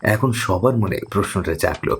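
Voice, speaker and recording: A man's voice: low-pitched, expressive, normal speed, slightly rough. A man narrating a scripted horror story in a grave, suspenseful manner.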